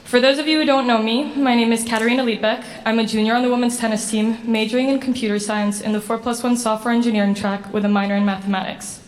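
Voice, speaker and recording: A woman's voice: a little high, quick pace, hardly any pauses, slight background noise. A young woman from Sweden, a university student athlete, giving an earnest prepared acceptance speech. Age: 20s. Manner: earnest, steady, slightly read-aloud delivery. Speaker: award recipient giving a speech.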